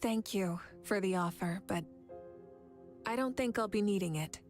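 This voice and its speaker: A woman plays a resolute warrior queen in an anime game. Her medium-pitched voice is calm and assured, with faint music in the background. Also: measured pace, natural voice. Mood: calm, reflective. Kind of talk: anime video game voice acting.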